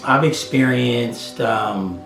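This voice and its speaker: A man's voice: mid-range voice, extremely slow, creaky, a bit bright. A man in his thirties recounting a personal experience conversationally, acting out what others said. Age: 30s. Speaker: interviewee. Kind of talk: personal testimony. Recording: roomy echo with faint music.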